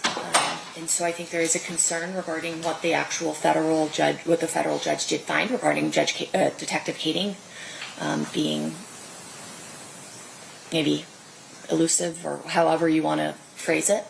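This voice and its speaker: An American woman presiding as judge, speaking formally and procedurally in measured courtroom instructions and rulings. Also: low-pitched, flat delivery, creaky, crowd noise. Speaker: judge presiding over a criminal trial. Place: indoor courtroom. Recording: noisy, echoey live courtroom feed.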